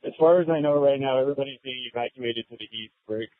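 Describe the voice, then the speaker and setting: A man's voice: medium pitch, fast talker, dry recording. An American man, a police officer, reporting in over a radio. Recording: tinny narrowband radio scanner audio.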